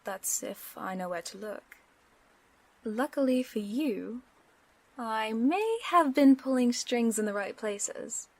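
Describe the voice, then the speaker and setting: A woman's voice: a little high, expressive, slow pace, darkish tone, full character voice. A woman playing a sly, confident supervillain's accomplice in an audio roleplay, wry and teasing in manner. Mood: confident, measured.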